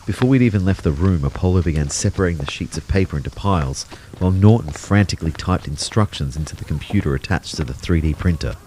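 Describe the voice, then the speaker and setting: A man playing a self-deprecating everyman narrator in an audio drama, telling his story in measured, wry first person. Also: low-pitched, animated, dark tone, a little hiss or hum, poor audio. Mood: wry, reflective. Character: male narrator, self-deprecating everyman turned invisible ghost.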